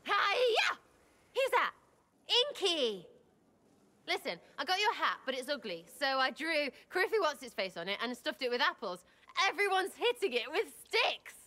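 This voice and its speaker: A woman's voice: high voice, very animated, measured pace, bright, forceful tone, heavily acted. An actress playing a cheeky, impulsive young woman rogue in a video game, talking in slangy, irreverent, blurted fragments. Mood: excited, playful.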